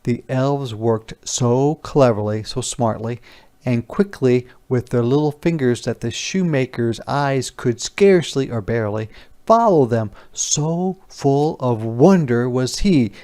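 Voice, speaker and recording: Expressive man reading aloud to children. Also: medium pitch, a little slow. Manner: expressive, explains words and asks questions. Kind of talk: interactive picture-book read-aloud.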